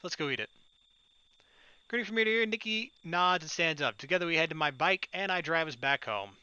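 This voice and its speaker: Higher-pitched man reading a game aloud. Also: lively intonation, brisk, sharp tone, clear, resonant tone, no echo, dull audio. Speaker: let's player reading a visual novel aloud. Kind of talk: expressive narration and dialogue reading with casual asides. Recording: game music behind the voice.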